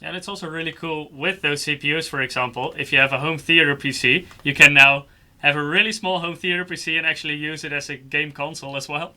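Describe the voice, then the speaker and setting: A man with a fairly high, very bright and sharp voice hosts a casual livestream. He interviews in a friendly, chatty way. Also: steady tone, quick pace. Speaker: livestream host.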